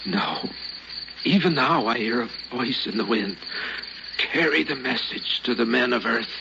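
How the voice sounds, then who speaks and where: An actor playing a gentle, selfless old desert prophet in an old-time radio drama, speaking in solemn scriptural phrases. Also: male voice, medium pitch, animated, unhurried, gravelly. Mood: weary, prophetic. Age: older. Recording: dull old radio recording, noisy background.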